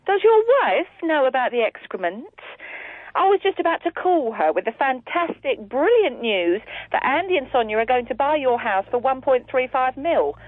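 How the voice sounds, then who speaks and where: An actress playing a brisk, blunt and bossy estate agent. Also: female voice, high voice, big pitch swings, no room sound. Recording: tinny dry phone-line sound.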